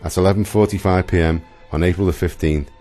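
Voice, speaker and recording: A man's voice: deep voice, steady tone, fast, mellow, faint music behind. A man reading scripted historical narration for a radio play.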